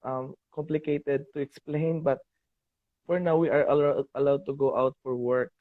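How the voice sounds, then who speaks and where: A young Filipino man in his twenties, a college art teacher calling in as a fan, speaking in a shy, halting and polite way over a video call. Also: mid-range voice, fairly even intonation, no room sound. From Philippines. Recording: tinny dry video-call audio.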